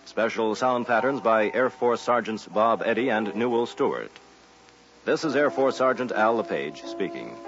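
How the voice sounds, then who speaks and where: A man reading scripted credits as a formal announcer in a vintage radio style. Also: lowish voice. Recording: dull vintage radio recording. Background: music.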